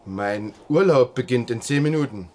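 An actor playing a terse, stubborn and slightly awkward police detective in a radio drama. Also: male voice, mid-range voice, expressive, normal speed, a little mellow, lightly acted. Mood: reluctant. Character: dogged, slightly flustered male police detective.

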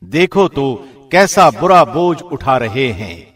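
A man reading a scripture translation formally, with measured, solemn and carefully phrased delivery. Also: medium pitch, very animated, a bit bright, husky. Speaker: professional radio host reading translation.

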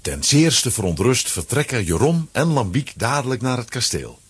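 A man with a husky, rough voice reading scripted narration for a children's story. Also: mid-range voice, very animated, normal speed, noisy background.

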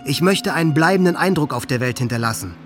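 A man's voice: on the high side, expressive, fast talker, a bit bright, faint music behind. A man playing a hate-filled, grandiose teenage boy in a radio drama, delivering a diary monologue with cold intensity. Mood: gleeful menace.

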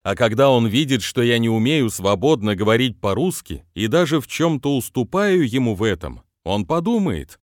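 A man's voice: mid-range voice, sing-song, moderate pace, few pauses, no echo, clean studio sound. A man, a business trainer, reading his own motivational book aloud with non-native pronunciation and an earnest manner.

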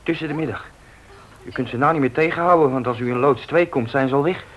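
A man's voice: medium pitch, quick pace, highs cut off, lightly acted. An actor playing a deferential, hesitant young errand boy in a radio play. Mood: uneasy. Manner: hesitant, respectful. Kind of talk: Dutch radio play acting.

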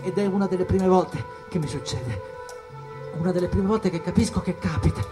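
An actor playing a young, withdrawn, watchful jazz musician in a theatrical monologue, in short, halting, repeated phrases. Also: male voice, high-pitched, lively intonation. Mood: uneasy. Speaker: stage actor. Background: jazz music and percussion.

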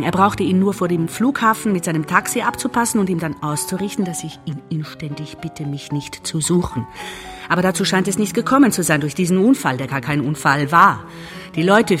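Casting: bitter, resigned woman in a radio-play confession, deep female voice, intimate monologue over music. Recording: music underneath the voice.

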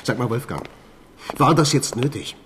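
An actor as an officious police chief. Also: male voice, medium pitch, animated, noisy background, natural voice. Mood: irritated. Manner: formal, officious, brisk. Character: businesslike male police detective chairing a case briefing. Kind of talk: radio drama acting.

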